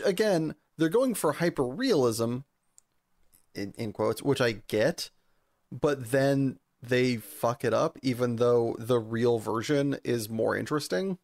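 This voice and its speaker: A man's voice: mid-range voice, unhurried, vocal fry, a little mellow, dry recording, studio quality. A man co-hosting a podcast in casual banter, informal and wry with the odd swear word. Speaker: podcast co-host.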